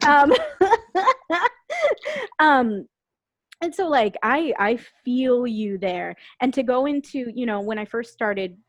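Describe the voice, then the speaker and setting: A woman from Puerto Rico, a guest on a podcast and an actor, telling personal stories candidly, animated and emotional, acting out the voices she quotes. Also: sits high, slow, pauses often, no echo, studio quality. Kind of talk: candid conversational storytelling.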